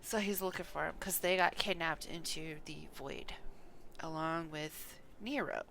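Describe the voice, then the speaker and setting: A woman's voice: low voice, unhurried, gravelly, fairly bright tone, slight background noise. A woman giving casual live commentary as she streams a game.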